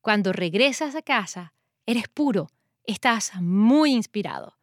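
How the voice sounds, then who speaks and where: A woman radio presenter reading a news segment in Spanish with a measured delivery. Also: medium pitch, expressive, no echo, clean studio sound.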